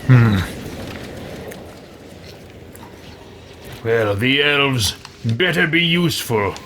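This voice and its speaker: A curt, disdainful adventurer from a fantasy game, voiced by a man playing along. The delivery swings in pitch, with faint noise behind it. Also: animated, slow pace, a bit bright. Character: haughty, self-serving male adventurer, blunt and suspicious. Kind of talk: role-playing over a video game.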